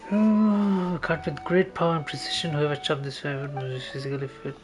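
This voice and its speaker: A man's voice: a little high, fairly even intonation, a little fast. Man casually playing a game over music. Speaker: game streamer. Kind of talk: casual gameplay commentary.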